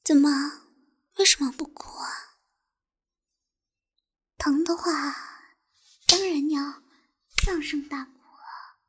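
A woman's voice: high voice, steady tone, slow pace, long pauses. A young woman voicing a cruel, taunting tormentor in an intimate audio roleplay, teasing and mocking, often sinking into a whisper. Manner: laughs softly between taunts.

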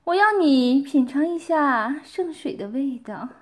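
A woman playing a domineering, scornful mistress who gives commands and taunts, sometimes dropping to breathy whispers. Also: high-pitched, animated, unhurried. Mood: commanding. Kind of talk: roleplay audio drama.

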